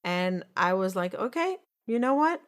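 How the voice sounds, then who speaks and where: Woman, 40s, solo podcast host; earnest storyteller, mid pitch, repeats herself, frequent restarts. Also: sing-song, unhurried. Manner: earnest, repetitive, restarts often. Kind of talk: personal storytelling monologue.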